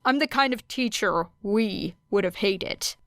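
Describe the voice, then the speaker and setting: A woman voicing a grumpy, strict male teacher with a dry, curt, deadpan manner in an audiobook. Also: mid-range voice, unhurried, no echo, close to an everyday voice. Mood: deadpan sparring. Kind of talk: acted audiobook dialogue.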